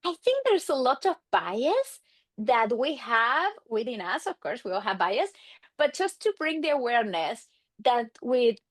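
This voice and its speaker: A middle-aged woman with an Argentine Spanish accent talks as an interview guest. Her voice sits high and swings widely in pitch as she casually tells stories and voices bits of dialogue. The sound is close and dry. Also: unhurried, no echo. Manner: animated, acts out quoted exchanges. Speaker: guest, marriage and family therapist.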